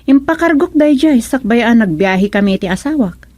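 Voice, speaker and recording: A woman's voice: fairly high pitch, animated, moderate pace, a little mellow, dry recording. A woman acting a touchy, complaining married villager in an Ilocano radio comedy drama. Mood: confiding, worried.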